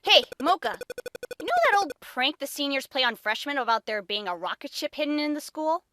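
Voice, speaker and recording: A woman's voice: high-pitched, animated, a little fast, sharp tone, no room sound. A woman playing a sensible, slightly exasperated schoolgirl mage in a game fan dub. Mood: concerned, wry.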